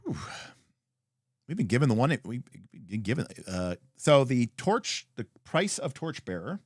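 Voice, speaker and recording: Man, livestream host, unscripted chat, expressive pitch swings, rambling, opinionated, emphatic, dry close sound. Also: measured pace, husky, no room sound. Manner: rambling, thinking aloud. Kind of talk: reading and answering viewer comments.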